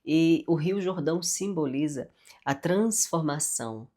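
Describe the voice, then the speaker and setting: A woman's voice: low voice, lively intonation, measured pace. Nasal, congested woman teaching scripture. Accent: Brazilian Portuguese. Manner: warm, frequent "né?" and "amém?" tags. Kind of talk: devotional Bible teaching with prayer.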